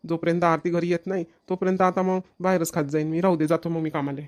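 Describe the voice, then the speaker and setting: Man voicing a very fast-talking, put-upon son. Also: on the high side, little pitch movement, lightly acted. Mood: resigned. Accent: Vidarbha Marathi. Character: put-upon, defensive married adult son. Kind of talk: amateur cartoon dialogue.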